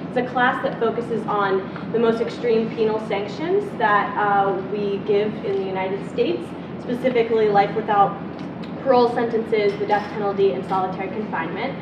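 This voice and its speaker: A woman's voice: medium pitch, moderate pace. Young female TA, earnest introduction full of ums, muffled hall audio with noise behind. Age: young adult. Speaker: teaching assistant introducing the guest. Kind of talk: speech introduction. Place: university lecture hall. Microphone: far room mic. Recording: distant, muffled, echoey hall recording.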